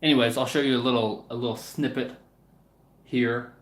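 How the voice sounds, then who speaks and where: A man's voice: medium pitch, steady tone, moderate pace, fairly bright tone. A man chatting casually to viewers as a livestream host, in a hesitant, rambling way that often trails off. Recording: roomy, echoing room sound.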